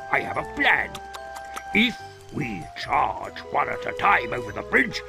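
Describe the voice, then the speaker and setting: Posh British billy goat, pompous, high gravelly voice, swooping pitch, cartoon fandub, loud music behind. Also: male voice, exaggerated voice. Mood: scheming. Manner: pompous, old-fashioned exclamations. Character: blustering posh father goat, pompous and boastful. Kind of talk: cartoon game fandub acting.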